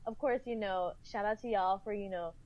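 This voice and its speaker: A friendly, chatty woman talks as a podcast guest, lively and quick to laugh, her talk full of "like". Her voice sits at an ordinary middle pitch. The sound is a little dull and lo-fi. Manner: chatty and animated, laughing often, many "like" fillers. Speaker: guest cast member. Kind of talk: casual podcast chat.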